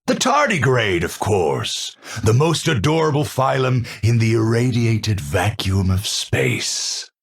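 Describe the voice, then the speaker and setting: An elderly male scientist from a video game, with a creaky, husky voice. He is gentle and formal. His delivery swings widely in pitch as he drifts off in absent-minded wonder. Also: very animated, heavily acted. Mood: wonderstruck. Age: older. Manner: courteous, formal, halting, easily distracted. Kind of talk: video game character voice lines.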